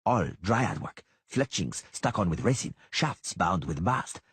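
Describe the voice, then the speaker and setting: A man voicing a blunt, wrinkle-faced mercenary in an audiobook, speaking in rough, plain words. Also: low-pitched, very animated, a little slow, dry recording, clean studio sound, full character voice. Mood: explaining.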